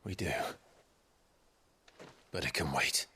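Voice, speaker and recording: A man's voice: very deep voice, slow, bright, forceful tone, slightly rough, heavily acted. A man playing a brooding, resolute young nobleman warrior in a video game, grave and earnest. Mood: grave resolve. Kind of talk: video game cutscene acting.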